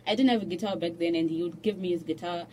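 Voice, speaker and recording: A young woman from Zimbabwe who is a musician, chatting as a guest in a radio studio and telling stories with restarts and soft laughs. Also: lowish voice, quick pace, a little hiss or hum. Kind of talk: casual radio interview chat.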